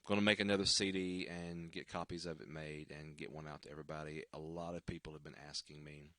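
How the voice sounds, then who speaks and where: A man with an extremely low baritone, his voice worn and scratchy. He talks casually and haltingly, with lots of ums and restarts. The sound is close and dry. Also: level tone, fairly bright tone, no echo. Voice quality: deep baritone. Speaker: worship singer hosting a livestream. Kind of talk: casual livestream sign-off chat. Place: at home.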